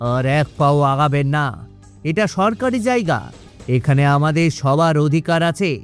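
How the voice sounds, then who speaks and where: Man acting a brave, high-voiced young village leader. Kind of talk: cartoon acting.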